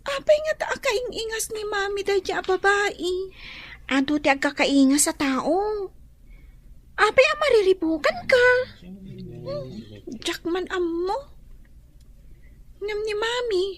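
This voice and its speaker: A woman's voice: extremely high pitch, expressive, takes it slowly, pauses often, full character voice. A woman playing a curious, innocent young child from a poor family in an audio drama. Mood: curious, wondering. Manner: wondering, childlike. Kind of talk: melodramatic audio drama acting.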